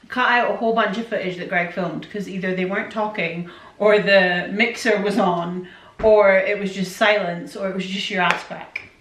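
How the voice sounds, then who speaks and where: A woman's voice: medium pitch, steady tone, moderate pace, fairly bright tone, a little hiss or hum. Chatty woman, distant in an echoey room. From Scotland. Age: 40s. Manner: rambling, chatty, self-interrupting. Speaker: vlogger. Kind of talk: casual Q&A chat. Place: home room.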